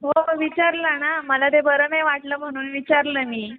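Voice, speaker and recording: A woman's voice: high voice, steady tone, moderate pace. A woman on a phone call, mildly reproachful and a little evasive as she makes her complaint. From Badlapur, Mumbai area, India. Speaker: caller. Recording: narrow tinny phone-line sound.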